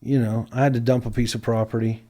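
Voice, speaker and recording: A man's voice: mid-range voice, flat delivery, fast, dark tone. A man, a singer guest talking casually and rambling with lots of fillers. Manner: rambling, filler-heavy, laid-back. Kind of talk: casual chat.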